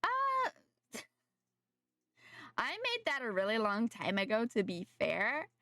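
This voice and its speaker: Young adult woman, streamer, nasal from a sore throat, rambling casual chat, lively up-and-down pitch, dry room. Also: takes it slowly, no room sound. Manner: rambling, self-correcting, chatting to viewers. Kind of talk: casual live-stream chat while gaming.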